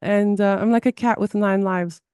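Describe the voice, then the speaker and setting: A woman's voice: mid-range voice, steady tone, moderate pace, a little mellow, clear, resonant tone, dry recording, studio quality. A woman with a South African accent, a therapist speaking earnestly in an interview, often restarting and repeating words. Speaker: guest, somatic therapist. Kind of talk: conversational interview.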